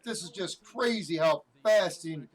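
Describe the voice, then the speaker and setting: Loud, deep-voiced swearing male streamer. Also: unhurried. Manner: swears a lot, hypes up plays with shouts. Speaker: watch-along streamer. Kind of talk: excited game watch-along commentary. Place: home room with TV playing. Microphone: headset.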